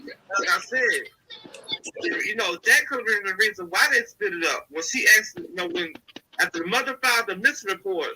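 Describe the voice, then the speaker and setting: A woman calling in to a live show, congested and soft-spoken, talking hesitantly with many fillers. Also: mid-range voice, animated, brisk, a little hiss or hum, rough recording. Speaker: caller. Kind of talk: casual phone-in chat.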